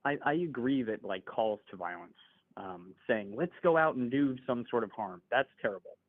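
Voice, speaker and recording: A man's voice: medium pitch, lively intonation, no echo. Young man hedging on a tinny call. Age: 20s. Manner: measured, hedging and polite. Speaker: caller. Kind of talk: casual political debate. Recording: tinny phone-like call audio, dry.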